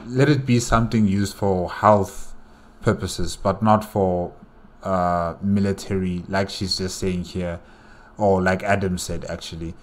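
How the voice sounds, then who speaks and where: A man giving casual, unscripted reaction commentary, halting and repeating phrases as he thinks aloud. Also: low-pitched. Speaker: reaction video host.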